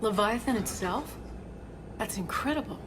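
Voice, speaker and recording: A woman's voice: on the high side, expressive, background noise, natural voice. An actress playing an earnest, shaken female archaeologist in a video game, speaking in a hesitant, scholarly way. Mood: dazed, curious. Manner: hesitant, halting delivery. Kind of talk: cinematic video game dialogue.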